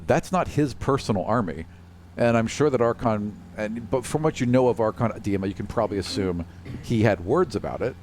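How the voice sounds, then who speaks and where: A man's voice: on the low side, a little fast, a little mellow, a little hiss or hum. A man running a tabletop game as game master, chatting casually with the players.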